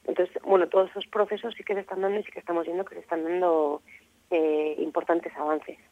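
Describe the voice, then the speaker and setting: A woman's voice: lowish voice, little pitch movement, quick pace. A woman with a Castilian Spanish accent answers interview questions as a political activist, speaking discursively and hesitantly, often ending phrases with a questioning tag. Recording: muffled narrow phone-line sound.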